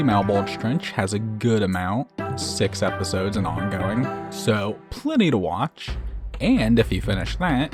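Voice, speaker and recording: A man with a fairly low voice hosts a video essay, reviewing in a scripted but conversational way. He drops in informal, chatty asides, and soft music plays behind him. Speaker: video host and reviewer of horror series. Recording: narration over background music. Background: background music.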